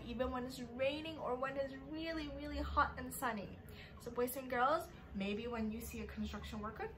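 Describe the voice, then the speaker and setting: Higher-voiced woman teaching little kids. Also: fairly bright tone, a little hiss or hum, poor audio. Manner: sing-song, encouraging, asks questions and pauses for answers. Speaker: children's teacher. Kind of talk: interactive preschool lesson for young children.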